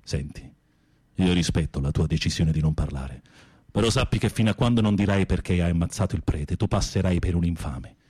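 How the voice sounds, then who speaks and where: Husky, low-voiced theatrical prisoner. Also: male voice, warm tone. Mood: probing, grave. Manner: theatrical, sardonic, with drawn-out musing. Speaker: radio drama actor. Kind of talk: Italian radio theatre acting.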